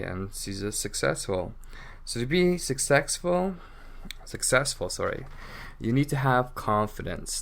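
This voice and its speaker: A man's voice: fairly low pitch, clean tone. Rambling man with mixed British-Canadian accent. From England. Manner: rambling, frequent fillers like "like". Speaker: English teacher and podcast host. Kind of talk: casual unscripted monologue. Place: Toronto.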